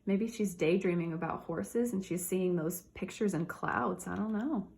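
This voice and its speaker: A woman teacher doing a read-aloud for children, talking directly to her young listeners in an animated way. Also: fairly low pitch, normal speed, creaky.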